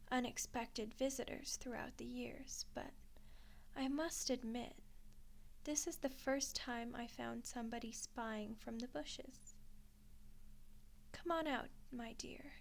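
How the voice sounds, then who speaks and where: A woman's voice: high voice, gravelly, heavily acted. A woman voicing a gracious, teasing centuries-old vampire queen in an ASMR roleplay, with courtly, coaxing phrasing. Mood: amused, inviting. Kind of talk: ASMR roleplay monologue addressed to the listener.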